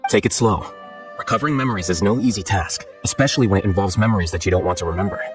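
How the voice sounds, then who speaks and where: An actor playing a calm, composed, aloof young scholar in a video game, speaking in a measured, matter-of-fact, articulate way. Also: male voice, lowish voice, over music, lightly acted. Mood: calm, detached. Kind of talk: scripted video game dialogue.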